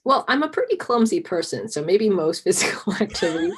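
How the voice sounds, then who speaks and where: A woman guest whose first language is Cantonese, chatting in a relaxed way with plenty of fillers. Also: mid-range voice, a little fast, a little hiss or hum. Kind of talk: casual interview chat.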